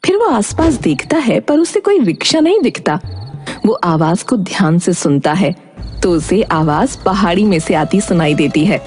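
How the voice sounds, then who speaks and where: A woman's voice: fairly low pitch, sing-song, normal speed, a little mellow. A woman reading scripted narration for a children's story. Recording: prominent music behind the voice.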